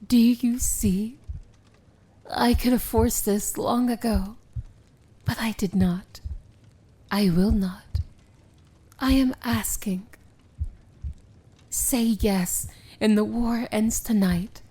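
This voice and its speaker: A woman's voice: on the high side, takes it slowly, long pauses, dark tone. A woman playing a powerful, solemn and devoted evil princess in a romantic fantasy ASMR roleplay, speaking in formal, poetic phrases that often drop into a whisper. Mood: earnest, coaxing.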